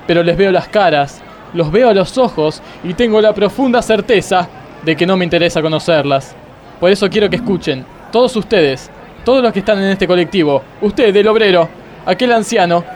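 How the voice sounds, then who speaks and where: Man acting a whiny high-pitched pompous Argentine judge. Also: close to an everyday voice. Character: self-pitying, pompous, exasperated Supreme Court judge. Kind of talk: comic radio play acting. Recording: faint vehicle and street noise behind.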